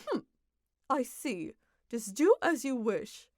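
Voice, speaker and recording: A woman's voice: fairly high pitch, sing-song, slow pace, a little mellow, faint music behind. A woman voicing a stern, stoic middle-aged mother who speaks bluntly and dismissively in a manga dub. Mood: flat, cold.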